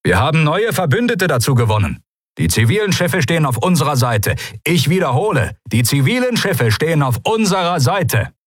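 A man's voice: fairly high pitch, big pitch swings, moderate pace, breathy edge, dry recording, clean studio sound, voice pushed up. A man voicing a grim, clipped admiral. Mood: commanding. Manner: clipped, commanding. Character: stern grim male fleet admiral.

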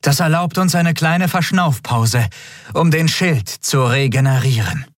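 A man voicing a female alien starship captain who speaks in a measured, calm way. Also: on the high side, dry recording, studio quality, pitched above the performer's own voice. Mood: relieved.

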